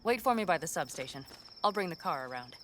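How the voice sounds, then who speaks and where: An actress voicing a confident female mercenary in a video game, giving quick, commanding orders. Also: low voice, big pitch swings, a little hiss or hum. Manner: brisk, commanding planner. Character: tough female fixer, confident and cocky. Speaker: mercenary ally.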